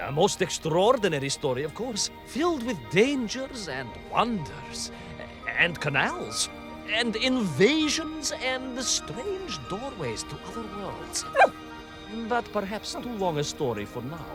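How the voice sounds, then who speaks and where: An actor playing a bumbling, boastful but kindly Soviet cosmonaut, telling tall tales for a game, dramatic and rambling. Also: male voice, sits high, wildly swooping pitch, unhurried, music in the background, exaggerated voice. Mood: wistful, rambling. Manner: dramatic, rambling, full of hesitant asides. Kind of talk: theatrical storytelling game voice acting.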